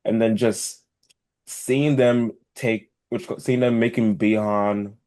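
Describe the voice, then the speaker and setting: A man with a fairly low voice co-hosts a casual talk podcast. He speaks informally and with emphasis, in the loose, slightly salty way of a friend giving his opinion. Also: fairly even intonation, slow pace, warm tone. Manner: emphatic, informal, mild swearing. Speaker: podcast co-host. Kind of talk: casual chat.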